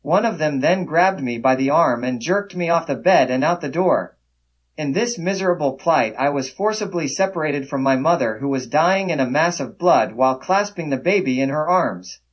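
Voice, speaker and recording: Casting: male reader as solemn first-person memoir narrator recalling a painful boyhood, lighter-pitched, with lo-fi muffled sound. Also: lively intonation, normal speed. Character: man solemnly recounting his boyhood capture and mother's death. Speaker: voice actor reading a memoir.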